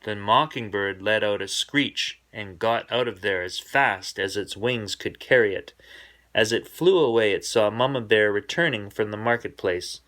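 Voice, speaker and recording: A man reading a children's bedtime story aloud in an expressive, dramatic storybook narration style. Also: fairly low pitch, normal speed.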